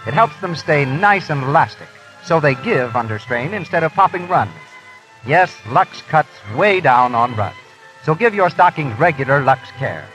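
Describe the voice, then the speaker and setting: Male American announcer, highish and very lively, vintage radio broadcast with music behind. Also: normal speed, low-quality recording. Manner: formal, polished projection.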